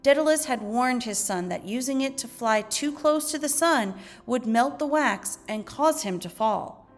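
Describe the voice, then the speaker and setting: Female teacher, fairly high voice, measured instructional read-aloud with music behind. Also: animated. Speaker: teacher reading lesson aloud. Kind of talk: educational read-aloud narration.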